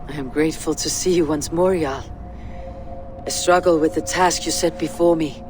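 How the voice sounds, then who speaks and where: A woman playing a stern, level-headed Viking warrior in a video game, speaking in a grave, measured, commanding way. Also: low-pitched, steady tone, music in the background, lightly acted. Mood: solemn, searching. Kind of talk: dramatic video game acting.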